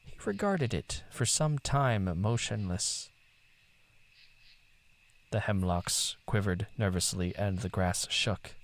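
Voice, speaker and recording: Male storyteller, theatrical and deliberate, lowish voice, slow pacing for literary fiction. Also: lively intonation. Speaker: narrator. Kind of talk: dramatic literary story narration.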